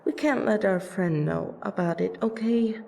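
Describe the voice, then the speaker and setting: A woman voicing a proud, protective female vampire princess in an audio roleplay, with wry, deliberate, theatrical phrasing. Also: mid-range voice, vocal fry, warm tone, a little hiss or hum. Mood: defensive, shy. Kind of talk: ASMR audio roleplay acting.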